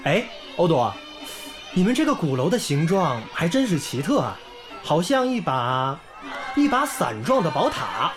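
An actor playing a young volunteer teacher in a village who speaks warmly and encouragingly. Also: male voice, a little high, very animated, unhurried. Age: young adult. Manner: warm, encouraging tone. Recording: background music under the scene.

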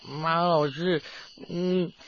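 Shy teenage schoolboy, stammering, slurred slow speech, muffled noisy recording. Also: male voice, fairly high pitch, fairly even intonation, no room sound. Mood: flustered, embarrassed. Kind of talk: Chinese radio drama acting. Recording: dull lo-fi sound with background noise.

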